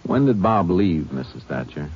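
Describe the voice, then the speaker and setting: A man's voice: low voice, sing-song. A man playing a stern, terse Texas Ranger in an old radio drama. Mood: firm. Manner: terse, firm, measured. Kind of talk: 1950s radio acting. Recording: dull old radio recording with hiss.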